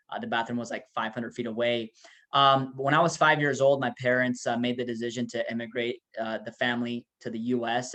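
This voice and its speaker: Man from Peru giving a motivational pitch. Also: fairly bright tone. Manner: casual, many fillers, motivational storytelling. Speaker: presenter. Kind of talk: business opportunity presentation.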